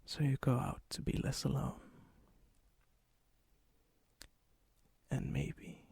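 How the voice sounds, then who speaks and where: A man playing a charming, ancient vampire and hopeless romantic in a soft-spoken, often whispered ASMR roleplay, slow, intimate and courteous, with a breathy, husky voice. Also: mid-range voice, flat delivery, no echo, full character voice. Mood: seductive, musing. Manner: slow, intimate, teasing, old-fashioned courtesy. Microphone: close mic, dry sound.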